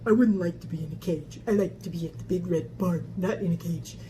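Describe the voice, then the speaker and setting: A woman voicing an excitable, childlike animal puppet sidekick for kids, eager and silly, repeating words over and over. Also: fairly low pitch, a little fast, voice pushed down, lightly acted. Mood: stubborn. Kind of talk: playful children's puppet banter. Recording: clear background noise.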